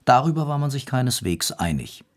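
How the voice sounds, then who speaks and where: A man's voice: mid-range voice, big pitch swings, fairly quick. A man reading scripted narration for a radio documentary as its narrator.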